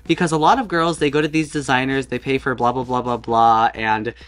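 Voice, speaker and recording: A man who performs as a drag queen, chatting casually as a guest with quick jokes and asides, on a video call from a costume-filled room. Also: medium pitch, fairly even intonation, a bit bright. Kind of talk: casual comedic chat. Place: home room with costumes behind.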